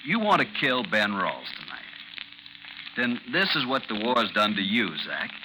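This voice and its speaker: An actor playing a protective friend and former army officer, earnest and insistent, in 1950s radio drama style. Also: male voice, fairly low pitch, big pitch swings, measured pace. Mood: pleading, firm. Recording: noisy, sizzling 1950s radio recording.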